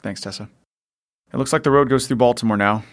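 A man's voice: mid-range voice, fast talker, close to an everyday voice. An actor playing a protective, grieving father surviving a post-apocalypse in an audio drama, with a hesitant, understated way of talking. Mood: practical, uneasy.

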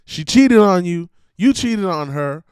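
Slightly hoarse man, high lively voice, preachy ranting monologue, dry close sound. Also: measured pace, no echo. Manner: emphatic, preachy, swearing, repeats himself. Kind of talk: casual monologue rant.

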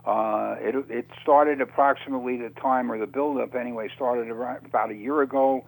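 A man speaking as an interview guest on radio, unscripted, halting and often repeating words as he searches for phrasing. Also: medium pitch, fairly even intonation, creaky, rough recording. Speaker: interview guest, author on UFO topics.

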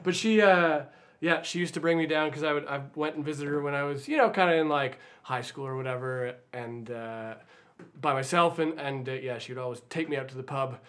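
Man, Canadian accent, fairly high voice, rambling storyteller, self-deprecating. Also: brisk, a bit bright. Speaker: band member, guest. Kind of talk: casual interview chat.

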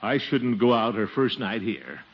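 An actor playing a pompous, genial middle-aged bachelor uncle with a blustery, chuckling American delivery in 1940s radio comedy style. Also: male voice, mid-range voice, animated, measured pace, creaky, breathy edge, heavily acted. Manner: blustery, chuckling, theatrically swooping. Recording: muffled, noisy 1940s radio recording.

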